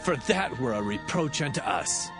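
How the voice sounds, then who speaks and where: An actor playing a proud, indignant son of a patriarch in a dramatised audio Bible, emphatic and declamatory. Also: male voice, medium pitch, very animated, normal speed, music in the background, lightly acted. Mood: firm bargaining.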